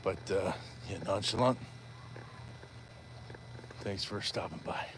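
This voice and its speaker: A man's voice: sits low, slow pace, noisy background. A man hosting a live stream while walking outdoors, chatting casually and reading viewer comments aloud with playful asides. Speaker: livestream host.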